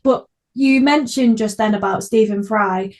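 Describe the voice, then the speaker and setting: A woman's voice: fairly high pitch, a little slow, a little mellow. A woman hosting a casual podcast interview, speaking in an informal, enthusiastic way. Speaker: podcast host.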